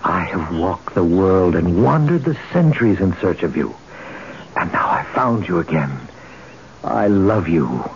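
An actor playing an ancient god, romantic, brooding and commanding, speaking in a solemn, intimate, declamatory way in an old-time radio drama. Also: male voice, low-pitched, very animated, a little slow, gravelly, heavily acted. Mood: tender, romantic. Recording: muffled, noisy old radio broadcast.

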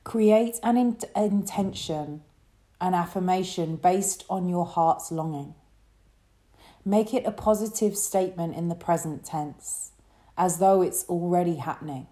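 A woman leading a guided relaxation meditation as a yoga teacher, speaking in a soothing, gentle way. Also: lowish voice. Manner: soothing, gentle, unhurried instruction.

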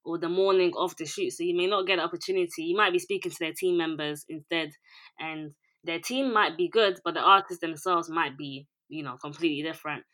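Calm, level-voiced woman director. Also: lowish voice, a little fast. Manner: calm, even, self-described monotone delivery. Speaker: music video director and producer. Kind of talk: interview guest, casual conversation.

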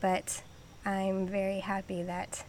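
A woman's voice: medium pitch, monotone, slow pace, a little mellow, a little hiss or hum. A woman from Southern California, a podcast guest, answering in a hesitant way with many ums and easy laughs. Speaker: podcast guest, army veteran. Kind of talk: casual interview.

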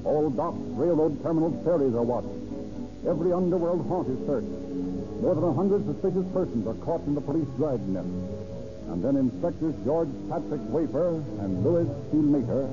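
A man's voice: a little high, expressive, normal speed. A man narrating a scripted 1930s radio crime drama in a formal, dramatic reporting manner. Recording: muffled old radio recording. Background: music.

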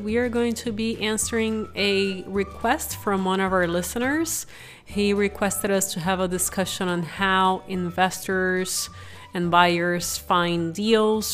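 Raspy-voiced woman hosting a podcast. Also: mid-range voice. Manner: chatty, upbeat, informal asides. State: raspy from illness. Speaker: podcast host. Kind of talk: solo podcast explainer.

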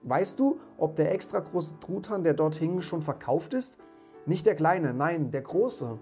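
A man's voice: mid-range voice, moderate pace, darkish tone, faint music behind, lightly acted. A man voicing a reformed old miser from a storybook, exclaiming with great emotion and emphasis. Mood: eager. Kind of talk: dramatised storybook reading.

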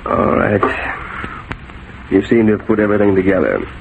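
An actor playing a broken-down, resigned middle-aged saloon owner, halting in speech, in a 1940s radio drama on a tinny recording. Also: male voice, fairly low pitch, fairly even intonation, gravelly, slight background noise. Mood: bitter, resigned.

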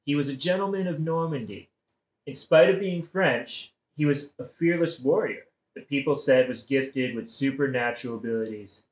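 A man's voice: medium pitch, normal speed, roomy sound, natural voice, rough recording. A man playing a scheming, casually murderous king in a modern satirical audio play, talking in an evasive, colloquial, wheedling way. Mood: glib, persuasive.

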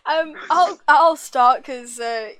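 A woman's voice: sits high, slow, a bit bright. A woman co-hosting a casual podcast chat, teasing and laughing often as she reviews songs. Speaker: podcast co-host.

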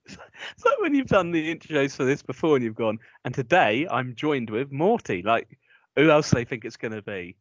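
A young man with a Northern English accent co-hosting a podcast, teasing his friend with quick comebacks. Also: medium pitch, big pitch swings, no room sound. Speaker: podcast co-host. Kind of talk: casual banter. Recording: dull lo-fi dry sound.

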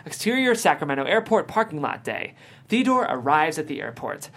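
Narrator reading script action lines and scene headings, middle pitched voice, table read style. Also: very animated, fairly quick, fairly bright tone. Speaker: narrator reading stage directions.

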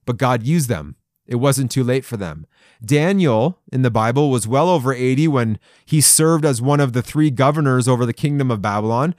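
A man's voice: medium pitch, lively intonation, normal speed, a little mellow, no echo, studio quality. A man in his forties giving a folksy, encouraging sermon to men, with playful asides and chuckles. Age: 40s. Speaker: men's devotional speaker. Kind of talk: conversational podcast sermon.

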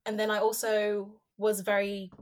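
Young woman from Hong Kong, Cantonese native, thoughtful guest with frequent fillers and restarts, medium pitch. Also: completely flat delivery, unhurried, a little mellow. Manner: reflective, many fillers and restarts. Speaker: podcast guest, researcher. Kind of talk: candid conversational interview.

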